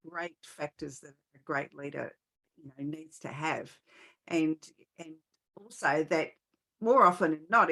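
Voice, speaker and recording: A woman hosting a podcast, chatting casually and agreeably, halting and rephrasing as she goes. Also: low voice, fairly bright tone. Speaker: podcast host. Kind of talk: casual conversational interviewing.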